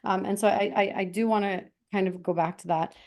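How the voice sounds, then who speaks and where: A woman's voice: medium pitch, fairly even intonation, brisk, a little mellow. A woman from Canada hosting an interview, speaking in an earnest, reflective way with frequent restarts. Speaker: host. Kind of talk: conversational interview.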